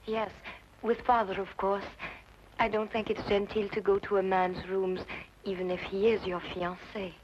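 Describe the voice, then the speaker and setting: A woman's voice: mid-range voice, level tone, normal speed, natural voice. A woman playing a stubborn, prim, lovestruck young lady in an old radio drama. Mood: insistent, defensive. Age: young adult. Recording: dull old radio recording, faint noise.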